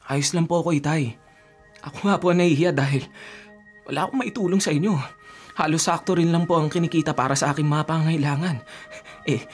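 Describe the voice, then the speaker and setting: An actor playing a young, dutiful student son, polite and earnest, in a Filipino radio drama. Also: male voice, on the high side, lightly acted. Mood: earnest, concerned. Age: young adult. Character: dutiful, caring college-age son, working student.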